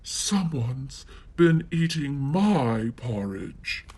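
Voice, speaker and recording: A storyteller voicing a mother bear in a storybook, with a soft, low voice. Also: sing-song, drawn-out words, breathy edge, full character voice.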